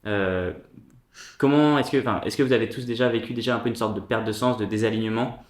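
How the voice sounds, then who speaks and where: A man's voice: fairly low pitch, steady tone, fast. A man hosting a casual round-table chat, hesitant, with many fillers and restarts. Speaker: host. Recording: echoey room.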